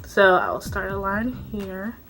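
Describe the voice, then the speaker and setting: A woman's voice: medium pitch, very animated, takes it slowly, over music. A young woman vlogger chatting casually through a makeup tutorial, a bit congested from a cold and rambling with fillers, filmed close on a phone in a small room. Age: young adult. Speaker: beauty vlogger. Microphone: phone camera, close up.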